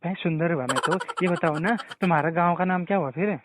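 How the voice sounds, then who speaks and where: A man's voice: fairly high pitch, quick pace, a bit bright, no echo. A man with a Kumaoni accent making a comic prank call, persistent and pleading. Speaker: prank caller. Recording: tinny phone line.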